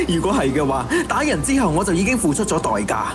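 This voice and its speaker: An actor with a Hong Kong Cantonese accent playing a fanatical, cynical killer who styles himself an executioner, in theatrical, taunting radio drama monologue. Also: male voice, high voice, huge pitch swings, moderate pace, music in the background. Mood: cynical, preaching.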